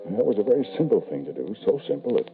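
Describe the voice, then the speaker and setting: An actor playing a grieving, doting middle-aged father in 1940s radio horror, dramatic and halting, on a tinny old recording. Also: fairly low pitch, very animated, creaky, faint music behind. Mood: haunted narrating. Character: grieving, desperate, doting father who summons his dead son.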